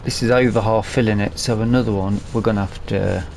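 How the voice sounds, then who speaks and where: A man's voice: lowish voice, fairly even intonation, fairly quick, gravelly, background noise. A British man giving casual, unscripted let's play commentary on a video game, thinking aloud as he plays. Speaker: gaming video host. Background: farming game vehicle and ambience sounds.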